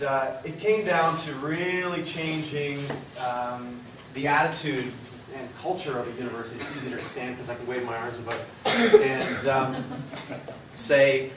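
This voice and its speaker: A man giving a conversational symposium presentation in a loud voice, with frequent fillers. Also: mid-range voice, moderate pace. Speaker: university executive speaker. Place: lecture room or studio space. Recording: roomy hall from far off, noisy.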